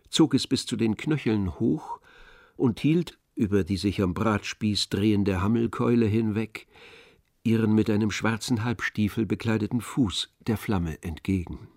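A man narrating a literary radio drama from a script in a measured, descriptive way. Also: fairly low pitch, a little mellow, no echo, studio quality.

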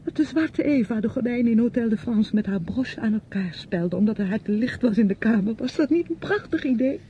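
A woman's voice: animated, a little fast, mellow, natural voice. An actress playing a bitter, grieving younger woman in a radio drama, theatrical and reproachful, lingering over her words. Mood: taunting, accusing. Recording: muffled old radio recording, faint rumble.

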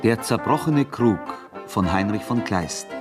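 A man reading scripted credits as a radio announcer, in a formal, measured style. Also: fairly low pitch, expressive. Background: brass music.

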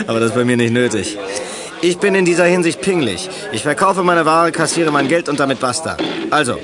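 An actor playing a bullish drug dealer in a 1980s youth radio play, sleazy, slangy and condescending. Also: male voice, on the high side, expressive, brisk, a crowd in the background, close to an everyday voice. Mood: mocking, impatient.

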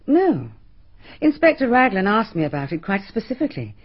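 An actress playing a proper, defensive middle-aged hostess, crisp and emphatic, with a husky voice, in a radio drama. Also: female voice, mid-range voice, huge pitch swings, a little fast. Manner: crisp, emphatic, slightly indignant. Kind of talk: BBC radio drama acting. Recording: dull archival radio recording.

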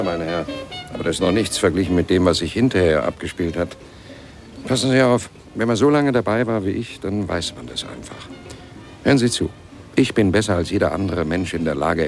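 An actor playing a boastful, boozy old-time jazz witness in a radio drama, creaky and insistently buttonholing his listener as he rambles. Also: low-pitched.

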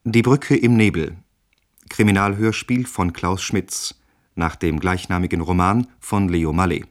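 A man's voice: sits low, steady tone, unhurried. A man reading a scripted announcement for a radio drama as its announcer.

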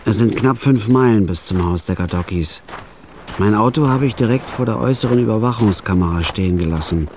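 A man narrating a radio drama in the first person as a store clerk, in a flat, laconic, hard-boiled way. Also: lowish voice, brisk, slight background noise.

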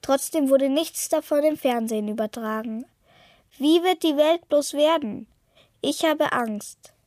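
An earnest, anxious young female protester voiced by a woman in a radio play. The voice is high and closely recorded with a dry sound, telling things in a simple, sincere way. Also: a little slow, darkish tone, no echo. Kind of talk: scripted radio-play monologue, read testimony.

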